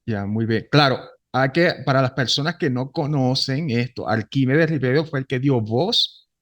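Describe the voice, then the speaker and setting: A man's voice: medium pitch, normal speed, fairly bright tone. A man hosting an informal interview. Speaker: interviewer, show host.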